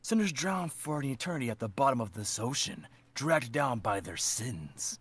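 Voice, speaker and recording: A man's voice: mid-range voice, animated, normal speed, breathy edge. A man voicing a wrathful lord of hell who passes judgement on sinners in pompous, theatrical pronouncements, as improvised comedy roleplay. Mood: grave, condemning.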